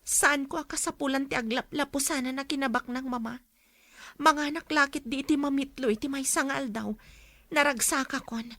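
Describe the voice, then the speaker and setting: A woman's voice: high voice, steady tone, a little fast, a bit bright, dry recording. An actress playing a kind young woman, daughter of a rich family, in an earnest, pleading radio drama manner. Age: young adult. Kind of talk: Ilocano radio drama acting.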